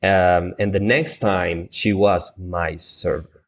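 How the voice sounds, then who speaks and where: A man's voice: sits low, fairly even intonation, takes it slowly, gravelly, a little hiss or hum. A man from Colombia with a Colombian Spanish accent, a native Spanish speaker, talking in a casual podcast chat with many fillers and restarts. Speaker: travel agent, guest.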